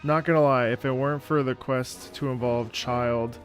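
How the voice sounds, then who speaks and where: A man's voice: mid-range voice, fairly even intonation, moderate pace. A man streaming a game, chatting casually and thinking aloud as he answers viewers. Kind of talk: casual live stream commentary, reading chat. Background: faint game music.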